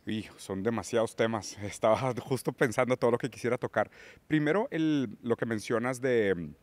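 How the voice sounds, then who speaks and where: A man's voice: mid-range voice, normal speed, dry recording. Man, Portuguese native, podcast guest lecturing on politics, dry close mic. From Brazil. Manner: lecturing, rising emphasis on key words. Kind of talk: political discussion.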